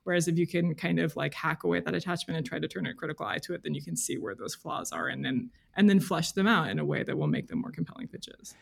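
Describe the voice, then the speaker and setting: A woman's voice: deep voice, fast talker, gravelly, hardly any pauses. A woman speaking as an interview guest in casual conversation, thoughtful and hesitant as she works toward her point. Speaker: podcast guest, freelance journalist.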